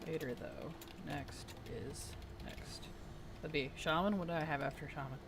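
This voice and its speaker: Live streamer, mid-pitched, strained and weak-voiced, chatty and soft-spoken, reads chat aloud. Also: fairly even intonation, measured pace, background noise. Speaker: game streamer. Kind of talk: casual live-stream chat while playing.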